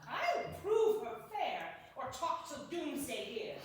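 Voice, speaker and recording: A woman playing a witty, passionate young lord in a Shakespeare staged reading, declaiming verse with dramatic pauses. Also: sits high, huge pitch swings, heavily acted. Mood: boastful, teasing. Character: witty, passionate young lord, eloquent lovesick male scholar. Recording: distant, echoey hall with faint noise.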